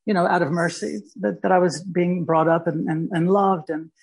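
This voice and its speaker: A man from Mississippi, a guest artist talking thoughtfully in an interview, pausing and restarting as he searches for words. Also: sits high, fairly even intonation, vocal fry, slightly rough. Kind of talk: reflective interview talk.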